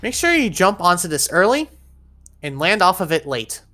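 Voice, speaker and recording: A woman's voice: on the low side, sing-song, moderate pace, sharp tone. A woman narrating an instructional video game walkthrough in a step-by-step, directive way, as a guide for players. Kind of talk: instructional gameplay walkthrough voice-over.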